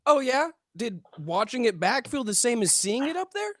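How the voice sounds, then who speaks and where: A man's voice: very high voice, big pitch swings, moderate pace, fairly bright tone, dry recording, studio quality, exaggerated voice. A man acting a dejected stoner UFO hunter in a comedy table read, grumbling in a self-pitying slacker way. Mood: frustrated.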